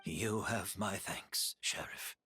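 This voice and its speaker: An actor playing an earnest, courteous, remorseful middle-aged gentleman in a video game, speaking in a measured, formal way. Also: deep voice, slightly rough, faint music behind, exaggerated voice.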